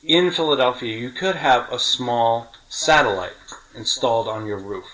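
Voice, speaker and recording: Bright-voiced man reminiscing, talkative. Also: medium pitch, moderate pace, rough recording. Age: middle-aged. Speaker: podcast host. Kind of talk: casual reminiscing chat.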